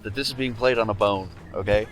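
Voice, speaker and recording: A man's voice: lowish voice, quick pace. A man co-hosting a let's-play session, making dry, sarcastic jokes about the game. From United States. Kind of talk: casual gaming banter.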